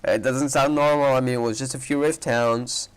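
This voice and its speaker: A man's voice: medium pitch, fairly even intonation, normal speed, smooth, low-quality recording. A man giving casual reaction commentary as a gaming streamer, musing and questioning aloud.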